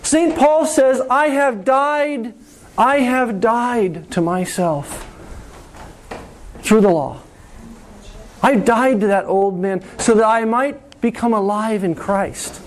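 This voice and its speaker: A man preaching a sermon to his congregation, slow and deliberate, with dramatic pauses and repetition. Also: high voice, slight background noise. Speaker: pastor.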